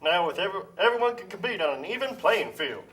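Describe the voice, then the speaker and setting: A man voicing a pompous puppet-show pitchman for free trade, lecturing smugly like a salesman. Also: lively intonation, quick pace, fairly bright tone, recorded from a distance. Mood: smug, promoting. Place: community TV studio.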